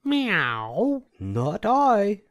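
A man's voice: high voice, wildly swooping pitch, extremely slow, fairly bright tone, pitched above the performer's own voice, exaggerated voice. A man voicing a lazy storybook cat with short, mewing replies. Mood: dismissive.

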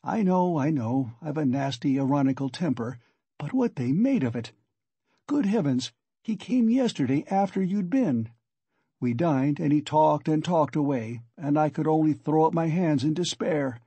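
A man's voice: a little high, lively intonation, normal speed, dark tone, slightly rough, dry recording. A man voicing a sly, chatty investigator in an audiobook, wheedling and exclaiming with theatrical concern. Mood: fussing, solicitous. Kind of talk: audiobook character acting.

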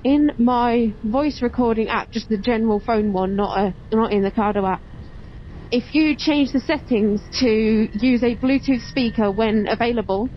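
A woman's voice: a little high, moderate pace. Motovlog host: woman, casual and explanatory, dull helmet-mic sound with engine and road noise behind. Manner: chatty, explanatory. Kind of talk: casual vlog chat while riding. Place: on a motorbike on open roads. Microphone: helmet intercom mic.

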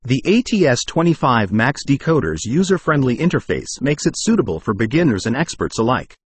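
Guy reading a scripted gadget review, bit raspy, up and down pitch, really dry sound. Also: very animated, a little fast, no room sound. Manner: formal, read-aloud explanatory delivery. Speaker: product review narrator. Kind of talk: scripted product review narration.

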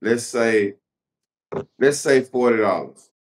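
A man with a mid-pitched voice. He interviews casually, using plenty of slang and often repeating his own phrases. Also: slow pace. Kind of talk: casual chat.

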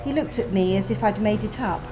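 A woman reading her own first-person story aloud in a gentle, wry manner. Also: mid-range voice, fairly quick, poor audio. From England. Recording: music playing behind.

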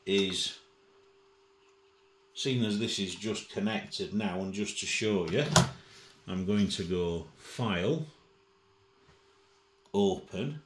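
A man giving an informal software demonstration as a tutorial presenter, methodical and thinking aloud, recorded indoors at a desk. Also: on the low side, reverberant.

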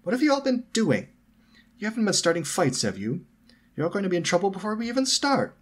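A man's voice: high voice, wildly swooping pitch, fast, slightly rough, voice pushed up, heavily acted. A man voicing a bossy, inquisitive young schoolgirl in a storybook reading. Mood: scolding.

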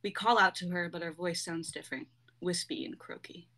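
A woman's voice: on the low side, brisk, pushed and bright. A young woman reading out stage directions as scripted narration. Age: young adult.

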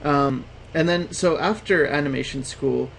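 A man with a somewhat high, gravelly voice asks his questions in a halting way, full of ums and quick reactions. He comes through a video call with faint background noise. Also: a bit bright, rough recording. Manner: hesitant, many ums, short reactions. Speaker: interviewer, channel host. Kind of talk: casual interview questions.

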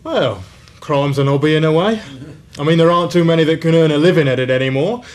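A man's voice: fairly high pitch, fast talker, natural voice. An actor playing a stolid, plain-spoken policeman, dry and musing, in a radio comedy. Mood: amused, musing. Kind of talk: radio comedy drama acting.

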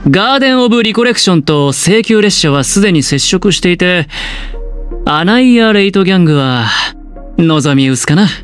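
A man playing a suave, scheming young male gambler in a game, with a smooth, teasing, theatrical delivery. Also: high-pitched, animated, normal speed. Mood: cool scheming. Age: young adult. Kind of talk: Japanese game dialogue voice acting. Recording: music behind the voice.

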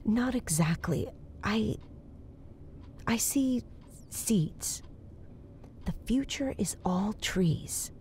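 A woman's voice: mid-range voice, expressive, mellow, slight background noise. An actress playing an earnest, uneasy woman psychic in a horror audio drama, speaking in a hesitant, naturalistic way. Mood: earnest, concerned. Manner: hesitant, halting. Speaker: voice actor.

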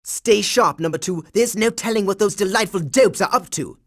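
A man's voice: high voice, moderate pace, a bit bright. A man voicing an earnest, commanding boy team leader in a cartoon video game. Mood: serious.

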